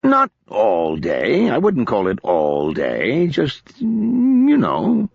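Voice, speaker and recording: A man voicing a drunken, foppish male officer, hungover and carefree, in a husky voice. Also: mid-range voice, huge pitch swings, extremely slow, no room sound, lo-fi sound, pitched above the performer's own voice, exaggerated voice. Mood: dismissive.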